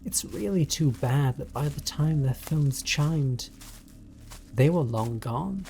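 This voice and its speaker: Slow, menacing British stalker ex played by a man. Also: on the high side, animated, vocal fry, warm tone, slight background noise, exaggerated voice, low-quality recording. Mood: cold taunting. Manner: slow, deliberate, coldly taunting. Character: obsessive spurned stalker ex, menacing and bitter. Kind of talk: scripted horror audio roleplay monologue.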